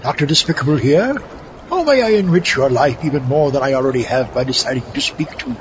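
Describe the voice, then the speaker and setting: Man playing pompous villain scientist, sarcastic, sneering, animated intonation, dull lo-fi sound. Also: medium pitch, fast talker, lightly acted. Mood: smug disdain. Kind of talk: comedic audio drama acting. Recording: dull, noisy lo-fi audio drama mix.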